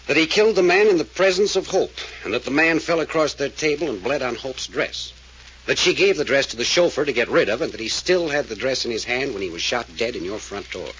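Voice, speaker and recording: An actor playing a blunt, insistent private investigator in a 1940s radio drama, speaking in a firm, probing way. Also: male voice, medium pitch, animated, a little fast, fairly bright tone, close to an everyday voice. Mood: accusing, insistent. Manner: firm, probing, matter-of-fact. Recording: noisy vintage radio recording.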